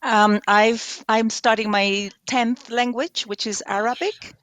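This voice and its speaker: A woman with a Spanish accent, a native Spanish speaker, talking as a guest on a live online show in calm, measured explanations with pauses. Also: on the high side, no room sound.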